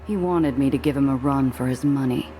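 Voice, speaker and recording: Female smuggler, very low pitch, hard-boiled, wry, guarded, noir game narration. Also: a little fast, warm tone, faint music behind. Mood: wistful. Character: tough, guarded woman smuggler narrating her own story.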